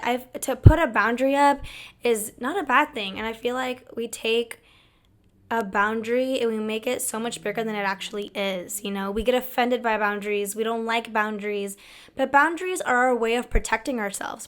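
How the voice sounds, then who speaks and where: A young woman hosting her own video talk show, chatty and candid, her voice hoarse as it comes back after losing it. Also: on the high side, fairly bright tone. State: hoarse, recovering lost voice. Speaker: host. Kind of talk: casual talk to camera.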